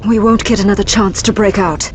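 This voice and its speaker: Casting: female actor as cool British secret agent for a game cutscene, determined, brisk, medium pitch, clear score underneath. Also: fairly even intonation, close to an everyday voice. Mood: urgent. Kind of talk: video game cutscene acting. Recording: loud music behind the voice.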